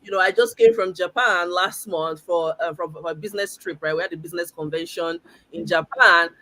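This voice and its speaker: A woman's voice: fairly low pitch, fairly quick, sharp tone, no room sound, rough recording. A woman hosting an online chat show, talking straight to her viewers with enthusiasm. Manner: enthusiastic, addresses viewers directly. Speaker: host.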